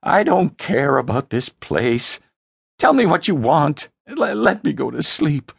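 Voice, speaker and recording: A man voicing a wretched, petulant prince in a fantasy audiobook, pleading and defiant in a hoarse, cracking voice. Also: fairly high pitch, big pitch swings, measured pace, dry recording, clean studio sound, voice pushed up, exaggerated voice. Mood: desperate pleading. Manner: pleading, stammering, spitting outbursts. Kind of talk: dramatic fantasy audiobook character voice.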